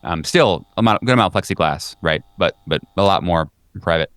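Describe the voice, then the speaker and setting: A man narrating a tabletop horror game as game master, improvising in a conversational, halting, descriptive way. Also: low-pitched, lively intonation, fairly bright tone, clean tone, dry recording.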